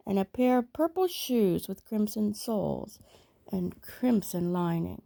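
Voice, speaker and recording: A woman reading a children's story aloud in a measured, expressive storytelling manner. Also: mid-range voice, gravelly, dry recording, studio quality. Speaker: storybook reader.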